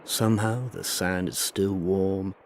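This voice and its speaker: A low-voiced, dreamy late-night DJ acted by a man on a fictional ambient radio station. He speaks in soothing, unhurried, hypnotic patter. Noticeable ambient noise runs behind his voice. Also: fairly even intonation.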